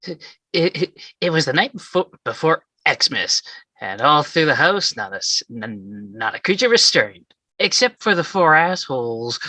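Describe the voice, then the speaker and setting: A smug terrorist hacker. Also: male voice, medium pitch, sharp tone, dry recording, heavily acted. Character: smug male terrorist hacker watching monitors. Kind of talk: table read.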